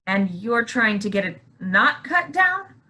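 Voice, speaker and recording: A woman's voice: medium pitch, very animated, slow pace, sharp tone, exaggerated voice. An actress playing a shaken, touchy woman in a staged play reading. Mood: indignant. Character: shaken, touchy woman insisting she is over it.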